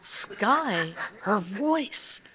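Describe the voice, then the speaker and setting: A woman's voice: medium pitch, sing-song, very slow, pushed and bright, slightly rough, full character voice. A woman playing a wide-eyed, eager, plucky girl helper in an old-time radio adventure. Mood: excited, eager. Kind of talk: live old-time radio juvenile acting.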